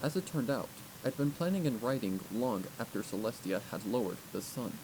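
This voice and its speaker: A man's voice: mid-range voice, lively intonation, fairly quick. A man reading first-person fiction aloud as an audiobook narrator. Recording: clearly noisy recording.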